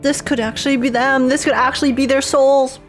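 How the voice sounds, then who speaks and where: A young woman livestreamer giving casual, expressive, gushing reactions to a game as she plays. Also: sits high, fairly quick. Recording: game music behind the voice. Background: game music.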